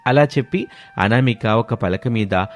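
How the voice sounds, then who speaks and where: A man narrates a family moral tale, as a storyteller reading from a script. His voice sits at a middle pitch, with music playing faintly behind it. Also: unhurried.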